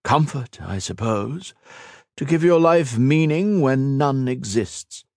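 A man's voice: mid-range voice, expressive, takes it slowly, darkish tone, no room sound, studio quality, pitched above the performer's own voice. A man voicing Shinzan, an arrogant eternal being. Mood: disdainful. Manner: haughty, lofty, lecturing. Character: ancient arrogant male cosmic being, cold and contemptuous.

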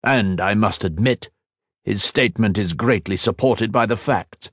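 A stern, coldly judging council leader, voiced by a man reading a story aloud. His deep baritone delivers firm, measured verdicts slowly. The sound is dry and close. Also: no echo. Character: stern male council leader, cold judge.

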